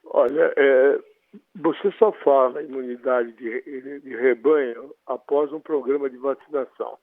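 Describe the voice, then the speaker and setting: Brazilian doctor on a tinny phone line. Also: male voice, mid-range voice, steady tone, a little slow, no room sound. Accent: Brazilian Portuguese. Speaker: infectious disease doctor. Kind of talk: phone interview on radio. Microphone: telephone.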